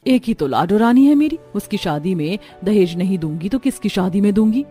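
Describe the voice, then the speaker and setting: A woman's voice: medium pitch, expressive, a little fast, dark tone, faint music behind, lightly acted. A woman voicing a melodramatic middle-aged stepmother in a cartoon, scheming and doting, defensive and pleading.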